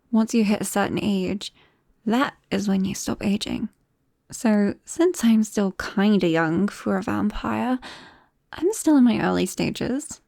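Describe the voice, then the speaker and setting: A young British vampire played by a woman confides shyly in a close friend in an intimate ASMR roleplay. The voice is soft and warm with a creaky edge, hesitant and often dropping to a whisper. The recording is very dry and close to the mic. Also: medium pitch, no room sound. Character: shy, embarrassed young female vampire confiding in best friend. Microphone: close microphone.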